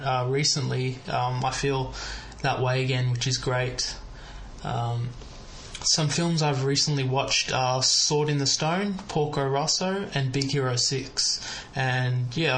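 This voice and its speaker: Even-toned Australian man, halting delivery. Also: mid-range voice. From Melbourne, Australia. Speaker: listener calling in. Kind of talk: prepared voicemail message. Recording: clear steady background noise.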